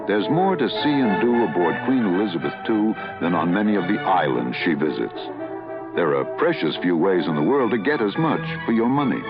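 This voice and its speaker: A man reading a polished, scripted radio advertisement as a commercial announcer. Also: lowish voice. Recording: dull old broadcast with music. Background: music.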